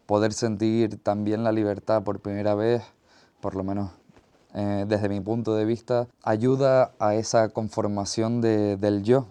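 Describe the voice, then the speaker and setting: Slow, low-voiced Canarian man. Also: warm tone, clean tone. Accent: Canarian Spanish. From Tenerife, Canary Islands, Spain. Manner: measured, thoughtful, pauses mid-phrase. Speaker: podcast host. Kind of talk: reflective solo podcast monologue.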